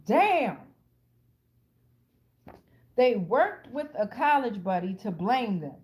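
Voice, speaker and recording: The speaker is an American woman with a somewhat high voice. She improvises a tarot reading for her online audience in a casual, streetwise way. Her talk is blunt and full of profanity, and she circles back to phrases to drive them home. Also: big pitch swings, takes it slowly, darkish tone. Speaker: tarot card reader, channel host.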